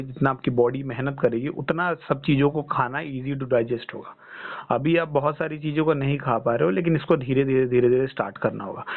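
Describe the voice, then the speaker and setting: Guy on a tinny phone call, health coach type, explains stuff reassuringly, keeps checking ur following. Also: mid-range voice. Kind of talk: phone consultation, explaining and advising. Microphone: phone line.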